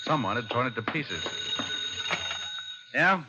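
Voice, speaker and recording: An actor playing a wry, tough American private detective in an old radio drama, speaking in a laconic, offhand way. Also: male voice, medium pitch, expressive, slow pace, very noisy. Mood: brisk, curt. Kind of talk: 1940s-50s radio drama acting and first-person narration. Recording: dull old radio recording with music.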